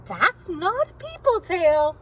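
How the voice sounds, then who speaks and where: A woman voicing a skeptical little girl in a children's storybook, with emphatic, drawn-out delivery. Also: extremely high pitch, huge pitch swings, a little hiss or hum, voice pushed up, exaggerated voice. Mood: indignant, emphatic. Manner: emphatic, declarative, drawn-out objections. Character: skeptical, observant little girl inspecting her baby sibling.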